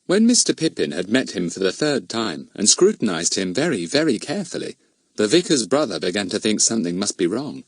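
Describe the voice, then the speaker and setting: A man reading a children's story as an audiobook narrator, in an expressive storytelling delivery. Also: on the low side, a little fast, slightly rough, no echo.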